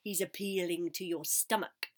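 A woman voicing a frantic, bossy goose in a storybook, urgently shouting orders and repeating her phrases. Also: fairly low pitch, fairly even intonation, normal speed, lightly acted. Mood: alarmed, pleading. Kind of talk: animated storybook character voice.

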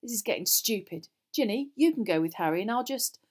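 A woman's voice: medium pitch, expressive, a little fast, a little mellow, pitched above the performer's own voice, close to an everyday voice. A woman voicing a blunt, impatient, sulky teenage schoolboy in a children's fantasy audiobook. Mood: put out.

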